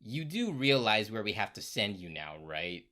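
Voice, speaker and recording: A woman's voice: very deep voice, big pitch swings, moderate pace, bright, forceful tone, pitched below the performer's own voice, exaggerated voice. A woman voicing a sassy, scolding school headmaster in a cartoon parody, with slangy, dramatic delivery. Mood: mock stern. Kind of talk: comedic cartoon recap parody.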